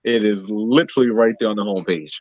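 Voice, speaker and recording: A man answering as an interview guest in a halting, explanatory, conversational way, pausing between phrases. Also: fairly low pitch, darkish tone, no echo, lo-fi sound. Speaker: interview guest, playwright and lead actor.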